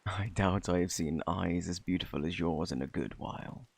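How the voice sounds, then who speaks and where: A man with a British accent playing a flirty, eccentric fortune teller in a fantasy ASMR roleplay, with a theatrical, boastful, easily flustered manner and a husky, gravelly voice. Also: low-pitched, fairly even intonation, normal speed, darkish tone, exaggerated voice. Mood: flirty, welcoming. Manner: theatrical, flustered, coaxing, old-fashioned phrasing. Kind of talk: ASMR roleplay, intimate scripted acting.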